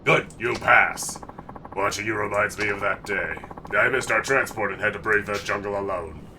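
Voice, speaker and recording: Man playing boastful old drill-instructor trainer, barking orders, low voice, stuffy with a cold, noisy background. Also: normal speed, far from the mic, lightly acted. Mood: instructing.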